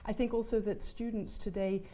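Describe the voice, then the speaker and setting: A woman's voice: medium pitch, little pitch movement, normal speed, mellow. A woman hosting a live online interview, chatty, reading out viewers' questions and greetings. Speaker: host.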